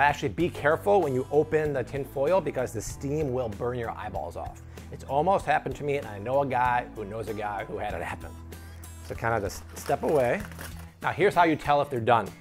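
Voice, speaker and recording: A man in his thirties hosting an upbeat cooking tutorial in a home kitchen, playful and full of jokey asides. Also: medium pitch. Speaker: cooking show host.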